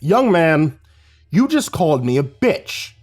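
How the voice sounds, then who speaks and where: A man's voice: a little high, very animated, fairly quick, a little mellow, soft background music. A man voicing a mild-mannered school principal who sputters and turns stern and demanding. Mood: stern anger.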